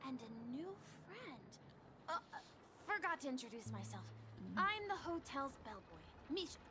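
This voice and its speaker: A woman's voice: high voice, sing-song, moderate pace, noisy background. A woman voicing a polite, eager young boy bellboy in a video game, earnest and courteous. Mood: bright, friendly. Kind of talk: animated game voice acting. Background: music.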